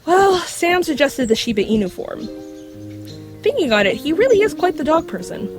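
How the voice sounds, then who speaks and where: A woman's voice: high voice, big pitch swings, normal speed, music in the background. A woman voicing a knowledgeable magical creature in dog form, explaining things matter-of-factly with dry wit in an audio drama. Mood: reminiscing. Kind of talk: scripted audio drama acting.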